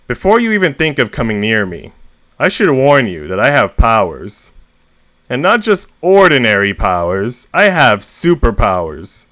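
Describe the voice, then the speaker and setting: A man reading first-person short stories aloud as host, with expressive emphasis on the narrator's exasperation. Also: medium pitch, no echo. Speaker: story reader and host.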